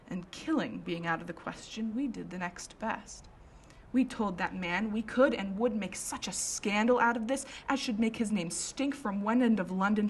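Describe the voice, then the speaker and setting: A woman's voice: medium pitch, slight background noise, natural voice. A woman playing a well-known Victorian lady about town, recounting events dramatically in a radio drama. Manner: dramatic storytelling, emphatic.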